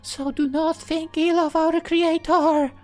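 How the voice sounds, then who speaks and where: A man plays a stern female believer from a visual novel, pitched far above a normal speaking voice. She is grandiose and admonishing, with faint music underneath. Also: level tone, slow, darkish tone. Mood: reverent.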